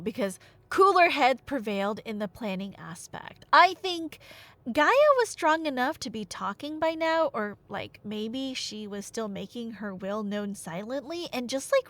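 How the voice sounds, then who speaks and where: A woman's voice: fairly high pitch, no echo. A woman playing an enthusiastic nerdy girlfriend in an audio roleplay, telling stories in a casual, emphatic, colloquial ramble. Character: enthusiastic nerdy girlfriend explaining Greek myths.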